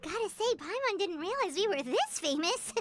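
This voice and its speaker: A woman's voice: very animated, normal speed. A woman voicing a small, perky, childlike fairy companion in a video game, exclaiming and talking about herself by name. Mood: pleased. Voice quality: squeaky, childlike. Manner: refers to self by name, exclamatory. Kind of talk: animated video game voice acting.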